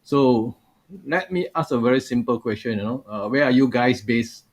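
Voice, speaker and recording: A man hosting a live online session from a video call. His voice sits at an ordinary middle pitch. He talks in a loose, chatty way, with plenty of "uh" and "you know" as he greets people and puts questions to guests. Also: normal speed. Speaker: webinar host.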